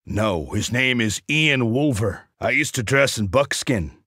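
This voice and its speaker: A cocky crossbow assassin from a video game, dry and sardonic. His delivery is unhurried and swings widely in pitch, with a bright, forceful edge. He boasts in short deadpan quips. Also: no room sound. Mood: wry, deadpan. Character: cocky, wry male Alaskan crossbow assassin mercenary.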